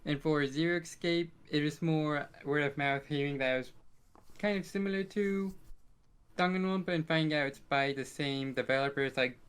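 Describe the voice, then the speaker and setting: A man's voice: fairly high pitch, moderate pace, fairly bright tone, clear, resonant tone. A man chatting casually as a guest on a podcast. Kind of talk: casual chat.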